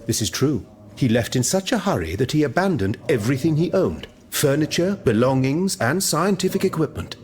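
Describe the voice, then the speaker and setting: An actor playing an earnest, thoughtful scientist of old France in a video game, speaking in a formal, reflective way. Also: male voice, fairly high pitch, very animated, normal speed, a little mellow. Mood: explanatory. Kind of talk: video game cutscene dramatic acting.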